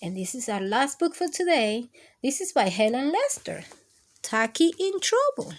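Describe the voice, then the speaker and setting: High-pitched, lilting woman reading storytime aloud. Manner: expressive, drawn-out words, addresses young listeners directly. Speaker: children's storytime host and reader. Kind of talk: read-aloud picture books for young children.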